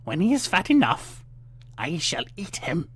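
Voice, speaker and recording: A man voicing a wicked old witch from a storybook, with a theatrical, menacing, drawn-out delivery. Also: fairly high pitch, wildly swooping pitch, a bit bright, breathy edge, voice pushed up, heavily acted. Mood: bossy, menacing. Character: wicked old witch, cajoling then cruel and impatient. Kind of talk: dramatized fairytale storybook reading.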